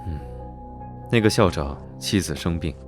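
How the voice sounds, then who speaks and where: An actor playing a suave, teasing young gentleman investigator in an animated drama, smooth-talking and nonchalant. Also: sits low, normal speed, over music, natural voice. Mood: grave. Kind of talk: Chinese animated drama dubbing.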